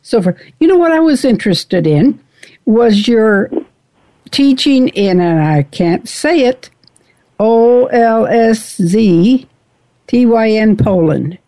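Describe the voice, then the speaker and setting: A woman's voice: a little high, expressive, slow pace, dark tone, no echo. A woman hosting a talk radio interview, chatty and homey in a folksy way. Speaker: radio show host.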